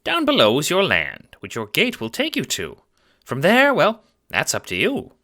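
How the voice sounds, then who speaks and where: Man acting as elderly granny spirit, shrill and lively, kindly, playful, folksy, audio drama character. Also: normal speed, exaggerated voice. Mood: cheerful, amused. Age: older. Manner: sweet folksy endearments, theatrical delivery.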